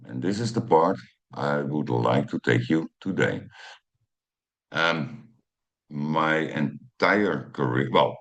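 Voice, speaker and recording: Very deep-voiced Dutch man in his fifties. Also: lively intonation, takes it slowly. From Netherlands. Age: 50s. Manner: hesitant, many ums and uhs, reads from notes. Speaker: channel host. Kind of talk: personal storytelling to camera.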